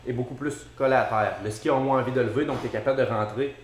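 A man in his thirties with a Québécois French accent, a dealership specialist explaining a snowmobile quickly and confidently in a metal-walled workshop. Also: mid-range voice, steady tone. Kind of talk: technical explanation in interview. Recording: echoey workshop.

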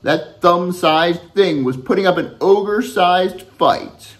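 A middle-aged man, an author reading his children's novel aloud to camera in an expressive, deliberate storytelling manner. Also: high-pitched. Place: furnished room at home, indoors.

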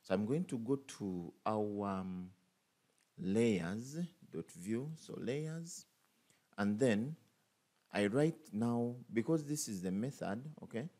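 A man's voice: on the low side, mellow. A man teaching a coding tutorial over a screen recording, thinking aloud with many fillers and restarts. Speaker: programming tutor.

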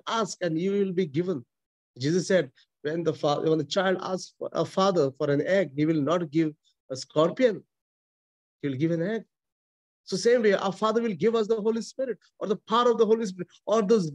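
A man's voice: high voice, a little mellow. A man in his forties with an Indian accent, a priest preaching a sermon over a video call from a small chapel, emphatic and repetitive. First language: not English. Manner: emphatic preaching, frequent repetition and restarts, gestures while talking. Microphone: webcam close up.

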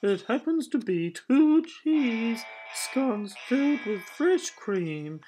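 A woman's voice: fairly high pitch, big pitch swings, slow, mellow, poor audio. A woman voicing an eager, overly helpful Swedish muscle-bound male secretary with a moustache and a put-on Swedish accent, speaking in earnest, formal phrasing. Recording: music playing behind voice. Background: music.

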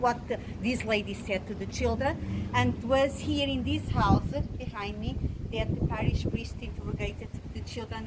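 Hesitant woman tour guide. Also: mid-range voice. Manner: hesitant, full of fillers and restarts. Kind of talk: guided tour of local sites. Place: outdoors by a parish house in the sun. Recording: loud outdoor wind noise.

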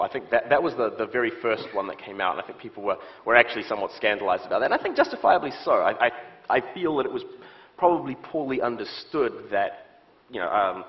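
A man in his thirties with a New Zealand accent, a security expert answering interview questions on a stage, often restarting his sentences. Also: lowish voice. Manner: halting, many restarts and fillers. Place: stage set with audience, indoors. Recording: muffled stage audio.

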